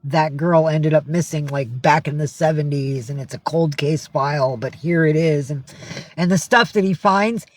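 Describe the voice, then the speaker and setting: A woman's voice: deep voice, moderate pace, slight background noise. A woman hosting a casual livestream from home, talking in a rambling, blunt and sweary way. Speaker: livestream host. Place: indoors at home with cats.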